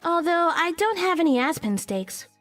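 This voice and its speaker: A woman voicing a brisk, teasing young female monster hunter in a visual novel game, blunt and matter-of-fact. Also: very high-pitched, sing-song, a bit bright, far from the mic, faint music behind, exaggerated voice.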